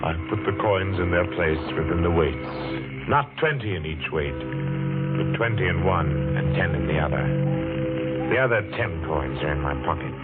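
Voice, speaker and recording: An actor playing a brooding, vengeful middle-aged clock repairman in old radio mystery style, speaking in a deliberate, dramatic way. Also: sits low, lively intonation. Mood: cold resolve. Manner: deliberate, dramatic, halting when speaking to others. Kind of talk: 1940s radio mystery acting, first-person narration. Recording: dull vintage radio recording with music.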